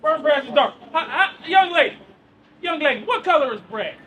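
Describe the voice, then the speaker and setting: A man preaching loudly outdoors on a city street. He quizzes the crowd with questions and then answers them himself. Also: very high-pitched, lively intonation, normal speed. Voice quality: loud and projecting, near yelling. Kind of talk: loud open-air street preaching. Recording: far from the mic with street noise.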